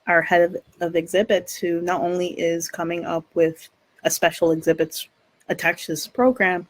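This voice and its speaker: A woman hosts a live online discussion as its moderator. Her voice is a little low, and she talks haltingly and effusively, feeling her way through her phrases. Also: fairly even intonation. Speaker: event moderator.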